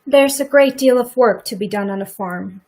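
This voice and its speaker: A woman's voice: fairly high pitch, normal speed, darkish tone. A woman playing a guarded, defensive farm wife in a live radio play, with a dry, pointed way of speaking.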